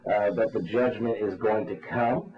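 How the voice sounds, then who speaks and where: A man's voice: on the low side, fairly even intonation, a little slow. A man speaks as a radio ministry spokesman in a muffled, lo-fi phone interview. He preaches with fervour and insistence, circling back over his phrases. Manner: insistent, repetitive, religiously fervent.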